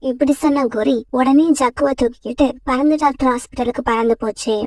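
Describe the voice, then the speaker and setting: A woman's voice: high voice, steady tone, brisk, darkish tone, dry recording, recorded from a distance. Woman narrating a cartoon story.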